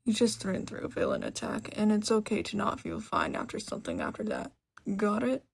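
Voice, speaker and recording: A woman voicing a gruff but caring male martial arts teacher, firm and brusque, in an audio story. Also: medium pitch, huge pitch swings, a little fast, exaggerated voice. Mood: gruff concern.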